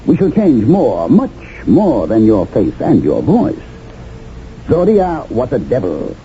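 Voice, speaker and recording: An actor playing a commanding, persuasive mastermind in a radio drama, speaking in a theatrical, oracular and insistent way. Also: male voice, medium pitch, wildly swooping pitch, slow, dark tone, soft background music. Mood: commanding, prophetic. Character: commanding, persuasive mastermind of a shadowy identity-changing company. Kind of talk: 1970s radio drama acting. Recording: tinny, band-limited old radio, faint noise.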